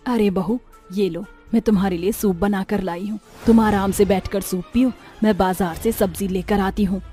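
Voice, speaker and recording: A woman's voice: mid-range voice, steady tone, moderate pace, dark tone, faint music behind, close to an everyday voice. A woman voicing a devoted, protective older mother-in-law turned witch in a cartoon story. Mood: caring. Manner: caring, earnest, dramatic.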